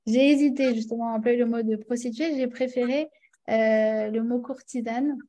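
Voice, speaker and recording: A woman's voice: on the high side, steady tone, normal speed, warm tone. A woman with a French accent teaching a religious class, chatty and full of fillers and restarts, often checking in with her listeners. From France.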